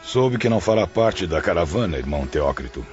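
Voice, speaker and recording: A man's voice: sits low, animated, brisk, lo-fi sound, music in the background. A man playing a courteous, earnest spirit instructor in an audiobook drama, with a formal, deferential manner.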